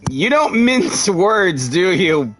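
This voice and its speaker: Man, high-pitched voice, unhurried pace, offhand gaming commentary, playful, music in background. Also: sing-song, fairly bright tone, poor audio. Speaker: gaming streamer. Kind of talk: casual live commentary over a game. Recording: game music behind the voice.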